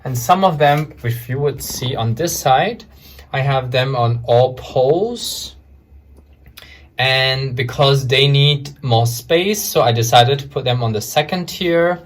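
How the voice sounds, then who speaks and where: A man from Malaysia, a guest calmly explaining things while showing his plant shelves at home. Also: mid-range voice, takes it slowly. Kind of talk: casual home tour explanation.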